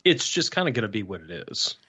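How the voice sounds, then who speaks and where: A man's voice: lowish voice, very animated, fast, bright, forceful tone, husky. Wry man with a Texas-Louisiana accent. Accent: Southern US, Texas-Louisiana. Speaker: voice actor in an audio drama. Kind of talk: casual chat. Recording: slightly dull, lo-fi audio.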